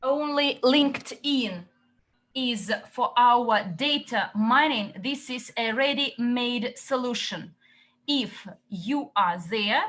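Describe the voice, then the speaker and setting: Slow, bright-voiced woman interpreter. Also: fairly high pitch. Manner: halting phrasing in short chunks. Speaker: interpreter relaying a company update. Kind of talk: consecutive interpreting of questions and answers.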